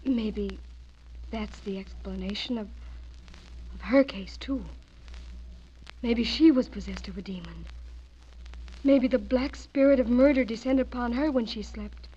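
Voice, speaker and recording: Scared fragile girl in old style radio horror, breathy husky n soft-toned, halting, muffled sound. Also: on the high side. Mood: haunted, eerie. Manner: hesitant, breathy, pleading. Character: frightened, fragile, haunted young woman under suspicion. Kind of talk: melodramatic radio horror acting. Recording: muffled radio drama audio.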